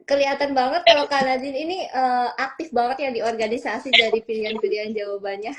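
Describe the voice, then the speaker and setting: A young woman co-hosting a student podcast, upbeat as she leads a quick question game. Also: a little high, steady tone, fairly bright tone. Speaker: podcast co-host.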